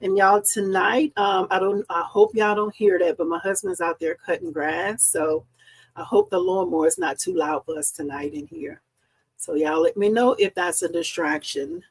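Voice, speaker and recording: A woman hosting a live crafting stream from her home craft room, chatty and upbeat, slightly hoarse because she is losing her voice. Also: fairly low pitch, moderate pace, poor audio. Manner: chatty and upbeat, greets viewers by name. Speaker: host and embroidery business owner. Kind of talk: casual live-stream chat. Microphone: live-stream setup.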